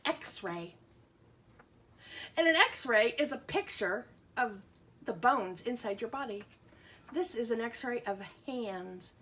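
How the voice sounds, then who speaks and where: Bright-voiced woman teaching young children, unhurried. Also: fairly high pitch, animated, husky. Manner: simple explanations with questions to young children; sounds out letters and words with emphasis. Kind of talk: children's lesson and picture-book read-aloud.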